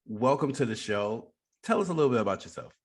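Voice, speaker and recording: A man's voice: mid-range voice, very animated, fast talker. A man hosting a radio interview show, speaking in an upbeat, conversational way to his guest and listeners.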